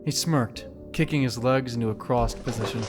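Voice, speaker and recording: A man's voice: medium pitch, moderate pace. A man giving scripted, dramatic story narration for an audio drama. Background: background music.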